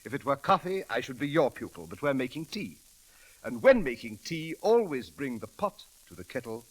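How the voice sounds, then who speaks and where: A man's voice: mid-range voice, big pitch swings, normal speed, poor audio. A man acting a proper, polite English manservant in an old radio comedy, speaking formally and a little hesitantly with an English accent. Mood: earnest, fussy.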